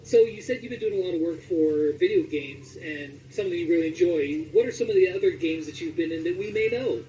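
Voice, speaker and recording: A man's voice: high voice, fairly quick, dark tone. A man hosting an interview and asking questions in a casual conversational style. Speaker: interviewer, channel host. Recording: distant mic, faint background noise.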